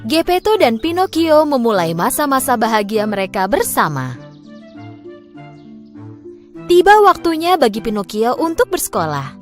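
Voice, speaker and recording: Female children's storyteller, high voice, very animated and expressive, light music underneath. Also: normal speed, long pauses. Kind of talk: scripted children's fairy tale narration.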